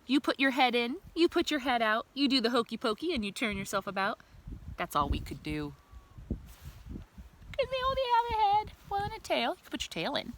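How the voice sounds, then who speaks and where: A woman's voice: a little high, big pitch swings, a little fast. A woman reading a children's picture book aloud outdoors like a teacher, adding chatty asides and questions for young listeners. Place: outdoors in a communal space by a river. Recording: noisy outdoor recording.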